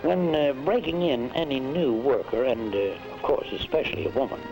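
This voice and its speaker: Actor as a patronizing 1940s American factory boss. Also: male voice, mid-range voice, close to an everyday voice, rough recording. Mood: patient, instructive. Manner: measured, didactic, hesitating with uhs. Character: patient, patronizing factory boss instructing a foreman. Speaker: factory manager. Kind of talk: 1940s instructional film acting. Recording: vintage 1940s film soundtrack, music.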